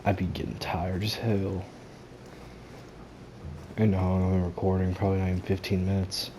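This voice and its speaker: A man hosts a casual game playthrough in a low-pitched voice. He talks in an offhand, slangy way, with clear noise and game ambience in the recording. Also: completely flat delivery, moderate pace. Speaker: gamer, playthrough host. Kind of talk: casual gameplay commentary. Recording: noisy, game ambience behind.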